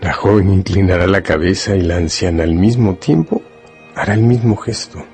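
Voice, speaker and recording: A man playing a solemn, hypnotic narrator in a literary radio drama, measured and intimate. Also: low-pitched, darkish tone. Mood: suspenseful. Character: solemn, hypnotic male narrator addressing the listener as protagonist. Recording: music behind the voice.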